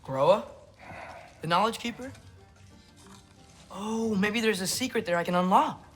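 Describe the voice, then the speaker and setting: Game teen hero: high voice, eager, curious, casual, excitable. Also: male voice, a little slow, soft background music. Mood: excited. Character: eager, curious teenage boy on a quest. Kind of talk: video game character acting.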